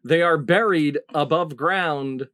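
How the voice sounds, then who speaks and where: A man's voice: fairly high pitch, slow, fairly bright tone. A middle-aged man hosting a comedy panel quiz over a video call. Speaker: quiz show host.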